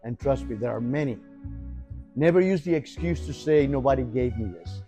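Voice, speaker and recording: A middle-aged man speaks at a plain middle pitch, proud and emphatic, restarting the odd phrase as he answers. A music bed plays clearly behind him. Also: lively intonation, normal speed, mellow. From Cuba. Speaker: engineering teacher interviewed. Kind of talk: earnest interview answers.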